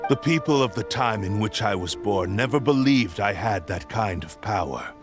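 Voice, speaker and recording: A man's voice: on the low side, lively intonation, normal speed, lightly acted. A man playing a proud, ruthless sentient sword in a video game, speaking in a grandiose, menacing, formal manner. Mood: proud, brooding. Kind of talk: dramatic video game voice acting. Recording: music playing behind the voice.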